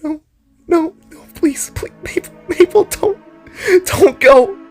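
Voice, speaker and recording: Grieving creature kid for audio story, female actor: piercingly high, halting pleas, background music. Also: darkish tone. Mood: desperate pleading. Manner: pleading, repeating words in short bursts. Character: grief-stricken child creature, pleading and frightened. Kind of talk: dramatic audio story acting.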